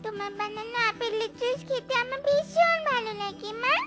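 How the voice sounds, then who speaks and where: A woman's voice: extremely high pitch, moderate pace, a bit bright, soft background music, voice pushed up. A woman voicing a little child bird in a cartoon, coaxing and whining to its mother. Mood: pleading.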